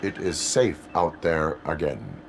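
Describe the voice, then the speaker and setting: A cyborg police officer from a video game. The voice is extremely deep and creaky, delivered deadpan in clipped, formal phrases. Clear noise sits behind it. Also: male voice, slow, heavily acted. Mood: stoic, flat. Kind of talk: video game cutscene acting.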